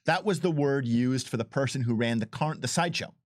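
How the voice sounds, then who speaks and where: A man's voice: mid-range voice, a little fast, dry recording. A man talking casually as a game developer, explaining things emphatically and repeating phrases to drive points home. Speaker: game developer giving commentary. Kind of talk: casual chat, explaining with enthusiasm.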